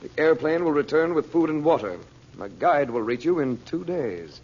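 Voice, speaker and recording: An actor playing a calm, authoritative middle-aged uncle who is also a magician, in old radio serial style. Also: male voice, on the high side, normal speed. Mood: measured, reading. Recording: noisy muffled old radio recording.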